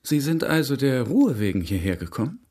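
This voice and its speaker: A well-mannered gentleman suitor in a radio drama. His voice is husky and rough-edged, his manner understated, curious and gently flirtatious. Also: mid-range voice, very animated, a little fast. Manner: polite, probing, understated. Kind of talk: German radio drama acting.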